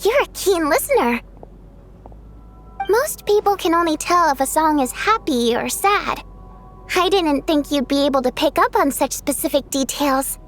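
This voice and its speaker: High-pitched graceful water spirit songstress. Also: female voice, expressive, normal speed, a bit bright, soft background music. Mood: pleased, playful. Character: graceful female water spirit singer, wistful and kindly. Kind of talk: video game English dub acting.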